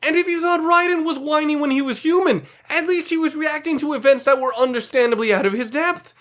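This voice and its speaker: A man's voice: very high-pitched, a little fast, a bit bright. A man narrating a countdown video as its reviewer, delivering opinionated scripted commentary in a blunt, sweary, emphatic way.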